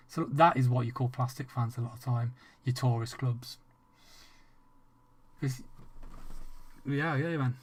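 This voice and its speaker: A man's voice: mid-range voice, breathy edge. A man with an English accent chatting casually with viewers during a game livestream, rambling, restarting sentences and swearing freely. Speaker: streamer.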